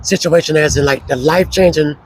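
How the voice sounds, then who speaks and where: A man with a hoarse voice, casually telling his life story as an interview guest, slow and relaxed with many fillers. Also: fairly high pitch, poor audio.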